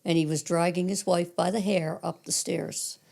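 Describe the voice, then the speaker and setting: An older woman from Cape Breton, a retired 911 dispatcher, telling detailed stories matter-of-factly in a hoarse, raspy voice. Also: fairly low pitch, fairly even intonation, moderate pace, darkish tone. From Cape Breton Island, Canada. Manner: matter-of-fact, detailed recollection. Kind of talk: casual storytelling interview.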